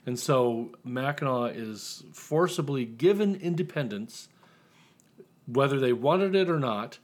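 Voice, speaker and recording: Guy author chatting on a podcast, mid pitched voice, kinda dry and wry storytelling. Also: expressive, measured pace. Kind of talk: casual interview chat, telling his story.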